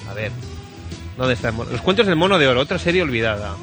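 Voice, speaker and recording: A man with a Spain Spanish accent hosting casual comedic radio chat in a crude, jokey, excitable manner. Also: medium pitch, fast talker, over music. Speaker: radio host.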